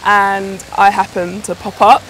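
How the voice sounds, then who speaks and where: A woman's voice: mid-range voice, little pitch movement, measured pace, a bit bright, a little hiss or hum, low-quality recording. A middle-aged woman, speaking casually as an interviewee in a conversation. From London, UK. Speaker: interviewee, fashion designer.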